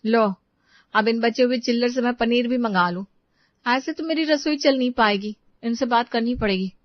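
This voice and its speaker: A woman's voice: on the high side, normal speed, no room sound, muffled recording, natural voice. A woman playing a dutiful young Indian housewife who frets over household money in an acted family story. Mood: worried.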